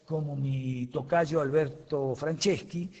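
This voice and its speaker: A man's voice: on the high side, fairly even intonation, takes it slowly, a little mellow, muffled recording. A man teaching an online class in a heated, emphatic, digressive way over a voice-chat app. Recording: voice chat with long reverb.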